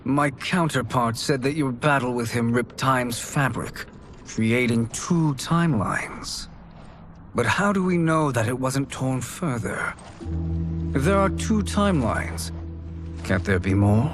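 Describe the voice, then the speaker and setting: A shrewd sorcerer in a fantasy video game. He talks slowly in a middle pitch with a probing, deliberate manner, over clear music. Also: expressive. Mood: thoughtful. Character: shrewd, questioning male sorcerer. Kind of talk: dramatic video game acting.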